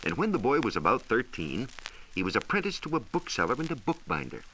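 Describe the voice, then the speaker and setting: An American man presenting a scripted 1940s educational radio science talk with measured, emphatic storytelling. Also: medium pitch, sing-song, bright, forceful tone, rough recording. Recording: hissy 1940s radio transcription recording.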